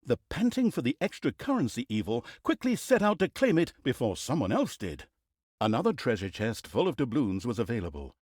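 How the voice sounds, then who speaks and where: A man's voice: medium pitch, huge pitch swings, brisk, a little mellow, slightly rough, no room sound, clean studio sound. An actor playing a wry, mocking male storyteller in a fantasy video game, narrating in a theatrical, mock-grand manner with sardonic, teasing asides. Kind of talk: scripted storybook-style comic fantasy narration.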